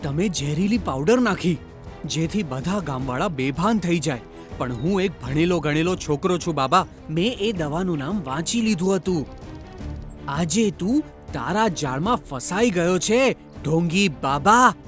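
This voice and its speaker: A man's voice: fairly high pitch, expressive, measured pace, fairly bright tone, faint music behind. A man playing an earnest, clever boy in a children's cartoon. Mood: accusing. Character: earnest, clever schoolboy who outwits a conman. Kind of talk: Gujarati children's cartoon voice acting.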